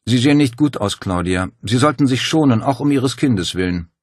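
A man's voice: medium pitch, animated, moderate pace, a little mellow, husky, no room sound, studio quality, voice pushed up. A man voicing an unruffled, condescending doctor with a solicitous, dryly indulgent manner in an audiobook. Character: unruffled, condescending male gynaecologist, feigning concern. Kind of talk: dramatic audiobook dialogue.

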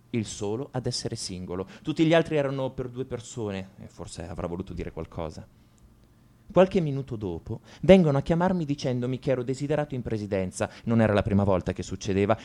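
Un attore che interpreta un liceale tormentato e amareggiato, in un monologo confessionale di radiodramma, con enfasi riflessiva. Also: male voice, fairly low pitch, warm tone. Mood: wry, bitter, then tense recounting. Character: brooding male high school student confessing to violence. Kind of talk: radio drama monologue, first-person narration.

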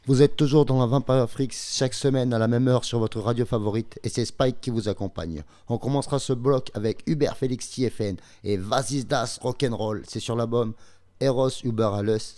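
A man's voice: medium pitch, mellow. A man hosting a radio music show, announcing the songs coming up. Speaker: radio host.

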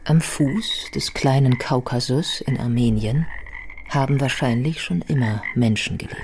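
A narrator reading scripted literary radio narration in a measured, reflective manner. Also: medium pitch, noisy background, close to an everyday voice. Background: music.

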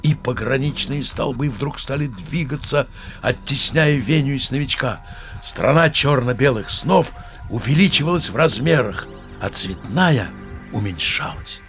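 An actor playing a storyteller, narrating a children's audio play in a measured, dramatic way. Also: male voice, medium pitch, fairly bright tone, faint music behind. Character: male fairy-tale storyteller.

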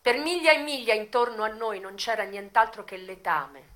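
A woman giving an expressive, theatrical first-person narration of a memoir, moving between hushed and emphatic delivery. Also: a little high, normal speed.

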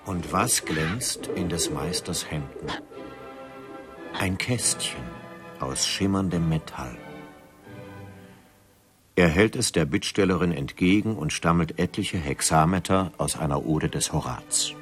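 A man's voice: sits low, slow pace, pauses often, fairly bright tone, faint music behind. A man narrating a radio drama as a scripted storyteller.

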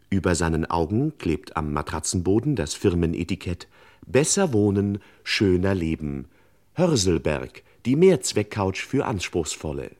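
An actor playing the dry, mock-serious narrator of a vintage radio crime serial. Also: male voice, deep voice, darkish tone. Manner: mock-solemn, ornate phrasing. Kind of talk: scripted radio play narration.

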